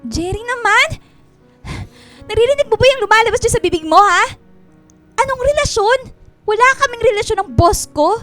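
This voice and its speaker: Really high pitched filipino radio drama wife, fed up n scolding, defensive, music behind. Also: female voice, lively intonation, normal speed, long pauses, fairly bright tone. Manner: indignant, scolding, firing rhetorical questions. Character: defensive, exasperated migrant-worker wife and mother.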